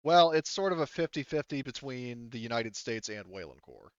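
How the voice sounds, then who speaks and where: A man's voice: medium pitch, animated, normal speed, clear, resonant tone, no echo, highs cut off. A man acting as game master of a tabletop sci-fi roleplaying game, improvising and thinking aloud in a casual way.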